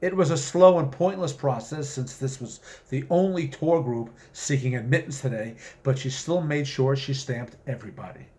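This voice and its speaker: A man speaking as an author presenting and reading his own short story, with measured, deliberate phrasing. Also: medium pitch, a little mellow. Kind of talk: story reading with spoken introduction.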